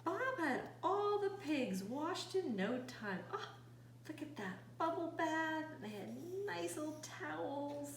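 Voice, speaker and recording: A woman reading a children's picture book aloud expressively, pausing to ask young listeners questions. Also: high voice, very animated. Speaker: storytime host reading aloud. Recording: roomy echo, distant mic, faint noise.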